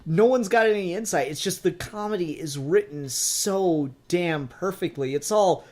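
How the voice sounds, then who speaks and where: A man's voice: high-pitched, moderate pace. A man co-hosting a podcast, giving opinionated, emphatic takes with plenty of swearing in a casual fan discussion. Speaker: podcast co-host.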